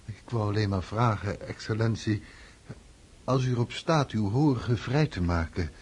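An actor playing a cautious, long-serving estate steward in a radio drama, formal and obsequious. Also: male voice, fairly low pitch, moderate pace, darkish tone, husky. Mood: deferential, worried.

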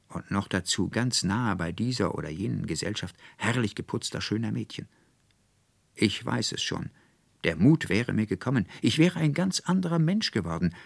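A man playing a hapless young student in a self-pitying, excitable theatrical monologue for a storybook reading. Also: fairly low pitch, gravelly, dry recording, full character voice. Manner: excitable, theatrical monologue with mimicked polite phrases.

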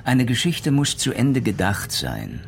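An actor playing a gruff, sardonic older retired police inspector in a German radio crime play, with a commanding, sarcastic manner. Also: male voice, mid-range voice, huge pitch swings, unhurried, a little hiss or hum, exaggerated voice. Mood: dry, measured.

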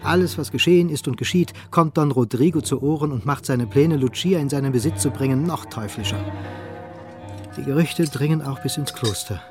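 A man narrating a radio play in a measured, literary and dramatic manner. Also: mid-range voice, over music.

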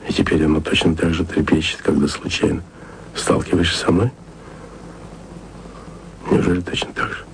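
An actor playing a lovesick, weary, jealous radio worker in an intimate, murmured radio play monologue. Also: male voice, a little hiss or hum, exaggerated voice, poor audio. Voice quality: deep gravelly voice.

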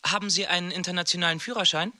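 An actor playing a polite, evasive hotel receptionist in a radio play, courteous, formal and deferential. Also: male voice, high voice, steady tone, racing, sharp tone.

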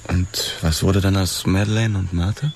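A policeman of thirty-two, once a boxer, in a radio drama. His deep voice is understated and laconic, with a wistful streak. A little background noise sits under the recording. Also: steady tone, moderate pace, creaky. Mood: guarded. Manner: terse, dry, understated. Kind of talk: radio drama dialogue and first-person narration.

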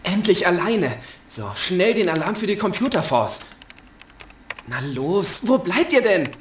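A cocky teenage hacker boy in a kids' radio play. His youthful voice moves with lively swings, with faint ambience behind. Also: sits high, a little slow, echoey room, slight background noise. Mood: urgent. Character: confident, secretive teenage boy computer whiz. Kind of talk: German children's audio drama acting.

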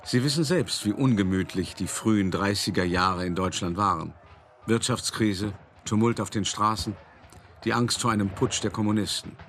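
A man's voice: fairly low pitch, moderate pace, a bit bright, slight background noise. An actor playing a worldly, cultured older art dealer who narrates his memories in a radio play, conversational and wry, addressing the listener directly. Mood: reminiscing, wry. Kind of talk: first-person radio play monologue. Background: music.

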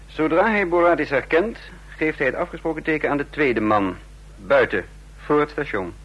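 A commanding, sardonic intelligence chief in a radio drama. His delivery swings freely in pitch and is slightly husky, brisk and teasing. The recording is dull and lo-fi. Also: expressive, fairly bright tone. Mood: brisk, instructing. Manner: brisk, teasing, giving orders. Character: sardonic, commanding male chief of secret agents. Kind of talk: Dutch radio play acting.

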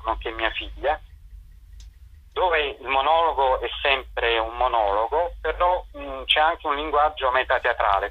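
A middle-aged man gives interview answers over a dull, lo-fi radio phone link. His voice sits at an ordinary pitch, and he explains himself haltingly, often pausing to find the word. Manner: hesitant, searching for words, explanatory. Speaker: theatre director and performer. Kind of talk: informal spoken interview answers. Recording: dull lo-fi telephone-radio sound.

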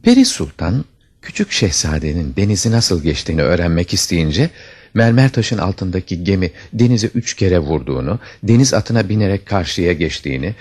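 Male fairy tale narrator, husky, lively intonation, dramatic scripted storytelling. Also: low voice, brisk.

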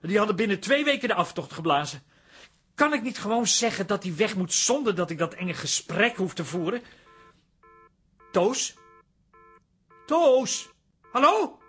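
A man's voice: high-pitched, big pitch swings, a little slow, long pauses, fairly bright tone, husky, heavily acted. An actor playing a fussy, uptight man in a radio play, agitated and whining, easily exasperated. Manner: agitated, whining, stammering when flustered.

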